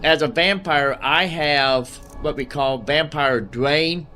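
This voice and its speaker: A man's voice: on the high side, takes it slowly, sharp tone, soft background music. A man giving casual gameplay commentary in a folksy, rambling way, explaining things and talking to himself. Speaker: gaming host.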